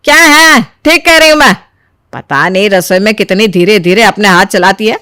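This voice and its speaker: A woman voicing a domineering middle-aged mother-in-law who scolds and complains, in an animated family moral story. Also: medium pitch, normal speed, dry recording, studio quality. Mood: nagging.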